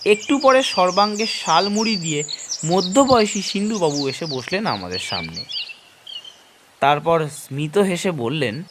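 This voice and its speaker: A man's voice: sits high, sing-song, a little slow, background noise. A man narrating a scripted horror story in the first person, in a dramatic storytelling style.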